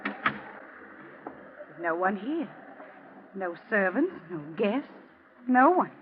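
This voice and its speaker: An actress playing a cold, taunting wife bent on revenge, in an ominous, theatrical 1940s radio drama style. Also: female voice, mid-range voice, very animated, extremely slow. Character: vengeful wife, cold, taunting, avenging her father. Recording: muffled, noisy old radio recording.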